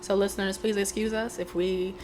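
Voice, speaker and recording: A woman's voice: medium pitch, little pitch movement, a little fast, noisy background. Chatty woman hosting a podcast. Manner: friendly and chatty, with frequent fillers. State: under the weather. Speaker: podcast host. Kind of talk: casual conversational interview.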